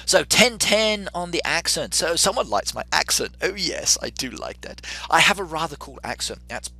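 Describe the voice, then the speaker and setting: A man's voice: fairly high pitch, animated, normal speed, gravelly, sharp tone. A middle-aged man with an English accent chatting through a live game stream and reading out viewer comments. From England.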